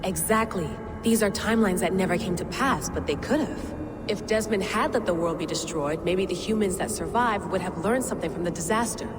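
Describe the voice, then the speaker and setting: A woman's voice: medium pitch, brisk, close to an everyday voice. Actress as a determined, earnest woman adventurer, noisy backdrop. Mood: thoughtful, persuasive. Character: determined, thoughtful woman adventurer burdened by guilt. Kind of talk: naturalistic video game cutscene acting.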